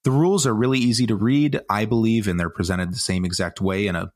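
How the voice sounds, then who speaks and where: A man's voice: fairly low pitch, fast, no room sound, studio quality. A man co-hosting a casual podcast, explaining things in an eager, descriptive way. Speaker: podcast co-host.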